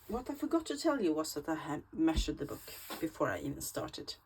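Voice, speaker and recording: Slow-talking Swedish woman crafter. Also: on the low side, a little hiss or hum, poor audio. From Sweden. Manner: slow, chatty, hesitant with fillers. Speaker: crafting channel host. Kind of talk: casual craft tutorial.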